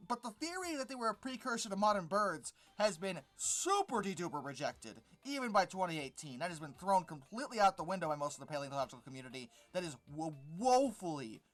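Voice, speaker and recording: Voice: medium pitch, sing-song, a little fast, soft background music. A video host giving informal, exasperated and sarcastic commentary on an article, stressing words emphatically.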